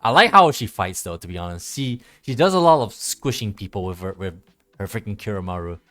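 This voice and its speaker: Smooth, lowish-voiced man rambling on stream. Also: lively intonation, a bit bright. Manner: rambling, halting, repeats phrases as he thinks aloud. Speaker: game streamer. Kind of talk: casual live game stream commentary.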